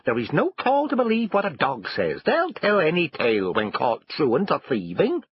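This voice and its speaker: A man voicing a bossy, dismissive farmer's wife with brisk nagging commands, for a storybook reading. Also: a little high, wildly swooping pitch, dull audio, a little hiss or hum, pitched above the performer's own voice. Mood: scornful.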